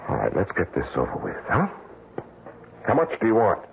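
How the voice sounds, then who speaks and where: An actor playing a smooth-talking, murderous philanderer in an American radio drama, coolly menacing and evasive. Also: male voice, lowish voice, huge pitch swings, moderate pace, full character voice. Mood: wary, evasive. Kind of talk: 1970s radio drama acting. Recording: tinny, noisy old radio recording.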